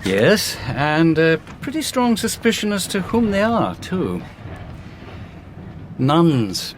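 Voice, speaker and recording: Brief: courteous inspector, slow and animated, faint vehicle ambience. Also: male voice, fairly high pitch, a little hiss or hum, heavily acted. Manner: polite, hesitant. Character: courteous police inspector, middle-aged man.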